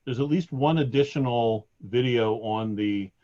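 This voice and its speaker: A man on a webinar call answering questions, hesitant and self-deprecating, with a loud voice that projects. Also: mid-range voice, measured pace, muffled recording. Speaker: sports department staff.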